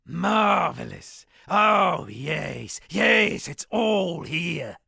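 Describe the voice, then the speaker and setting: A shipwrecked old-world captain who fancies himself a bard in a video game. His voice is rough with heavy creak and husk, recorded close and dry. He delivers florid, pompous lines with dramatic flourish. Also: high-pitched, big pitch swings, drawn-out words, sharp tone, no room sound, full character voice. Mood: excited. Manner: florid, dramatic, alliterative declaiming. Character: eccentric male shipwrecked sea captain and pompous amateur poet. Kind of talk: theatrical video game character dialogue.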